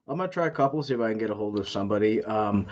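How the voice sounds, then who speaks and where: A man hosting a casual live stream, talking in a halting way with frequent filler sounds. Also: fairly low pitch, muffled recording. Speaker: scam-baiting live streamer.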